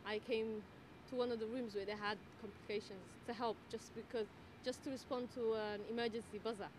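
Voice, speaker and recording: A woman from the Netherlands who works as a midwife and tutor, answering interview questions in a thoughtful way with many fillers and pauses. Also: on the high side, steady tone. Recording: noisy background ambience.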